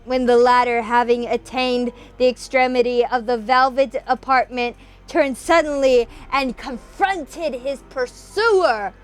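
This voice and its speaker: A woman with a theatrical, expressive way of speaking, presenting horror stories as an amateur reader and host. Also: a little high, a little slow, few pauses, faint music behind. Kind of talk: dramatic reading, then enthusiastic casual chat.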